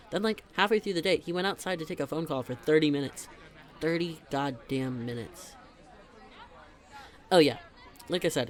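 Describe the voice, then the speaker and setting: A man's voice: a little high, natural voice. A young man playing a teasing, chatty best friend in a conversational audio roleplay, talking in a casual, emphatic way. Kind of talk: conversational scripted roleplay monologue.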